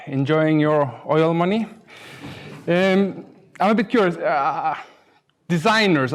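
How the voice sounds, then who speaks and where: A middle-aged man with an Icelandic accent speaks in a fairly high voice for a man. He presents informally as a conference speaker, hesitating often, with a dry, self-deprecating humour. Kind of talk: informal conference talk with slides.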